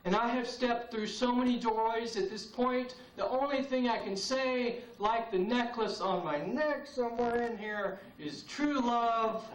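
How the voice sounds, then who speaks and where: High-voiced man reciting poetry slowly. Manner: slow, solemn, incantatory delivery with long pauses. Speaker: poet performing at an open mic. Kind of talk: spoken-word poetry performance. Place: open mic night in a cafe. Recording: roomy, distant VHS tape recording.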